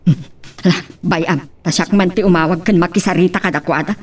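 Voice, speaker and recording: A woman's voice: on the low side, fairly quick, breathy edge, recorded from a distance. A woman with a Filipino accent playing a bossy, protective mother of a grown son in an audio drama. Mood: scolding, protective.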